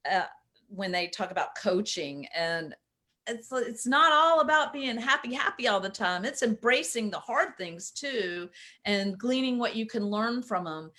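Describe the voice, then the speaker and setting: A woman's voice: mid-range voice, pushed and bright. A woman hosting an interview, a coach herself, chatting in a bubbly way and laughing often. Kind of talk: casual interview talk.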